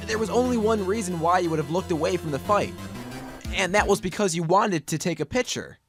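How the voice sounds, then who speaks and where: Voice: mid-range voice, close to an everyday voice. An actor playing an earnest, dogged young male defense lawyer in a fan-dubbed courtroom drama, pressing hard yet sometimes unsure. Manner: pressing, declamatory, hesitant asides. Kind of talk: fan dub courtroom game acting. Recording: amateur dub with clear music behind.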